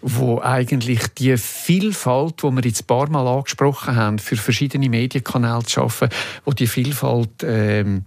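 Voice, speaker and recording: A man's voice: medium pitch, normal speed, darkish tone, no room sound, clean studio sound. A man with a Swiss German accent hosting a conversational interview, speaking reflectively and searching for his words. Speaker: interviewer, host. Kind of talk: conversational interview about radio history.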